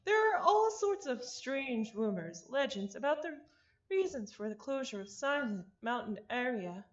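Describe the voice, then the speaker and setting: A woman's voice: sits high, sing-song, unhurried, dull audio. A woman reading a visual novel aloud in an informal way, breaking off for casual asides and apologies. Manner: informal, self-interrupting, apologetic about name pronunciation. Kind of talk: casual read-aloud of a game script with ad-libbed asides.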